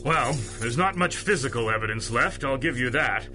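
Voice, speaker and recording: A gruff police chief in a pulp radio play, blunt and skeptical. The voice is medium-pitched and forceful, with faint music underneath. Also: male voice, lively intonation, normal speed. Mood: skeptical, irritated. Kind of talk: 1930s-set pulp radio drama acting.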